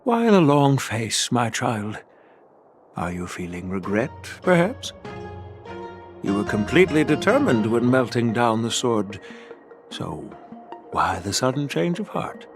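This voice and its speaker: A man's voice: medium pitch, very animated, a little slow, pauses often, music in the background. An actor playing an elderly general and doting grandfather in a video game, patient and easily amused. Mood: probing, fond. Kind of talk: scripted game voice acting.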